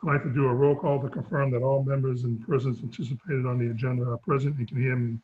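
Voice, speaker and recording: Hoarse male chair, halting read-through, muffled video-call sound. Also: medium pitch, level tone. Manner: halting, stumbles over scripted wording. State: losing his voice. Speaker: chair of a town sewer commission. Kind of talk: reading a meeting script and chairing. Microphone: remote video call. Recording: dull lo-fi call audio.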